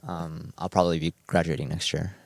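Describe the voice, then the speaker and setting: A man's voice: sits low, steady tone, brisk, gravelly, no room sound. A man in his twenties from New Jersey, a cellist and music student, speaking earnestly in a radio interview with many uhs and ums. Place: radio performance studio.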